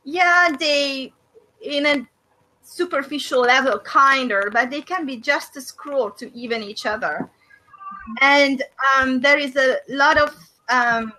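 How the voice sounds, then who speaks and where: A middle-aged woman from Hungary with a Hungarian accent, hosting a faith and business video call and speaking thoughtfully with many pauses and fillers. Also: high voice, pushed and bright, poor audio. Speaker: host of a faith and business discussion. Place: small room indoors. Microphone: webcam on a video call.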